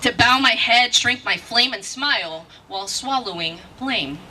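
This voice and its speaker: A woman's voice: fairly high pitch, animated, a little slow, pushed and bright. A woman performing spoken word poetry with a rhythmic, emphatic, dramatic delivery. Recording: distant mic with faint background noise.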